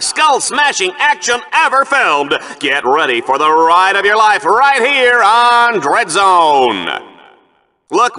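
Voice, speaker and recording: Smarmy video game sports announcer, bright forceful tone, wildly swooping pitch, theatrical hype, smug asides. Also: male voice, exaggerated voice. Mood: bombastic, then smug, flirtatious. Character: flamboyant, smarmy male game show sportscaster hyping deadly contests. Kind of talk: exaggerated sports commentary.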